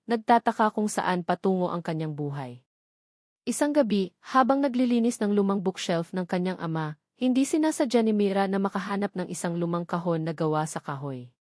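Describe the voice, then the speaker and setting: A woman narrates a scripted romantic drama in a medium-pitched voice. The recording is very dry and close, with the measured delivery of a story reader. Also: animated, a little mellow, dry recording.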